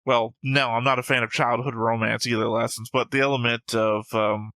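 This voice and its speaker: A man's voice: mid-range voice, flat delivery, moderate pace, sharp tone, no room sound. A man hosting a reaction show, talking casually and argumentatively with frequent swearing. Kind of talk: casual discussion, reacting to an animated series.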